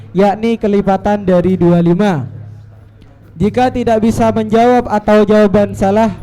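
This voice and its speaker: A man with a high but mellow, soft-edged voice reads competition rules aloud at a school event. His delivery is measured, even and formal, like someone going through a printed sheet line by line. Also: poor audio. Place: event hall at an Islamic boarding school.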